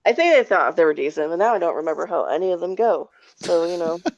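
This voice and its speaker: Low-voiced woman chatting, slightly muffled audio. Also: animated, fast talker, a little hiss or hum. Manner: hedging, self-described nerdy enthusiast. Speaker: podcast co-host reviewing a show. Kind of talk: casual chat, film and stage review.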